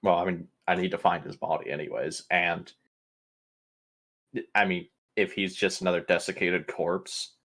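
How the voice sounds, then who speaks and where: A man's voice: low-pitched, steady tone, moderate pace, a bit bright. A man playing a tabletop game, chatting casually with a wry, explanatory manner.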